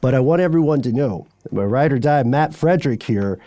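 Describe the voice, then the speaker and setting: A man's voice: mid-range voice, animated, a little fast, warm tone, no room sound. A man co-hosting a podcast in casual, bantering chat, with a wry, playful and rambling way of talking. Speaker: podcast co-host. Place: remote recording, dry close sound.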